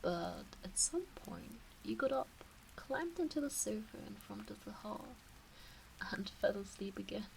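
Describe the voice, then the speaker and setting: A woman playing a teasing, doting, motherly kitsune witch in an intimate ASMR roleplay, cooing in a breathy, whispery way. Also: mid-range voice, animated, a little slow, a little hiss or hum, low-quality recording. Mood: tender, soothing.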